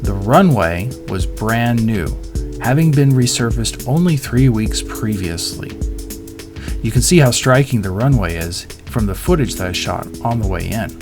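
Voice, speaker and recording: A man's voice: on the low side, lively intonation, measured pace. A middle-aged man reading a scripted voice-over as a pilot narrating an airport review. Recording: voice-over with music underneath. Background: background music.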